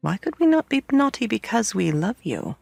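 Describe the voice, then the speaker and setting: An earnest little girl from a storybook, acted by a woman, high and tearful. She pleads and insists in a swooping, beseeching voice, very close and dry. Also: sing-song, normal speed, vocal fry, breathy edge, dry recording, faint music behind, exaggerated voice. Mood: pleading, insistent. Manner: sobbing, beseeching.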